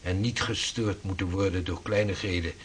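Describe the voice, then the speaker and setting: An actor playing an earnest 16th-century navigator and mapmaker who talks in a measured, formal way. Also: male voice, sits low, fairly even intonation, a little hiss or hum, natural voice. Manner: measured, formal, old-fashioned speech. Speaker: seafaring cartographer. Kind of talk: radio drama acting.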